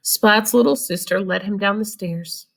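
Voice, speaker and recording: A woman's voice: medium pitch, unhurried, gravelly. A woman storyteller reading a children's picture book expressively and explaining it to young listeners. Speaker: read-aloud storyteller.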